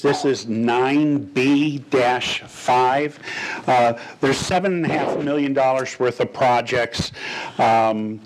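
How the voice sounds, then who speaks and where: A man's voice: medium pitch, low-quality recording. An older man on a desk microphone in a boardroom, explaining a financial item to a board in a plain-spoken, gesturing way with frequent restarts. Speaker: finance official. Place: boardroom, indoors. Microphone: desk microphone on a stand.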